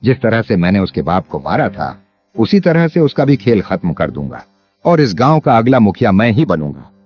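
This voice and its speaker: An actor playing a greedy, cowardly landlord villain in an animated moral story, exaggerated and theatrical. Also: male voice, lowish voice, moderate pace, breathy edge. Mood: gloating. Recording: dull lo-fi audio. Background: music.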